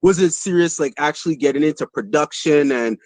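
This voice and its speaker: Relaxed Barbadian man, dancehall artist. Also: a little high, brisk, no echo. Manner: relaxed, rambling, fillers. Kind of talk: casual interview.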